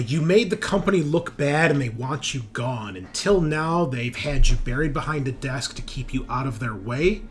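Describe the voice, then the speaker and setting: Casting: male tabletop game master, mid-pitched, casual explainer with emphatic bursts that tail away, some background noise. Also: a bit bright.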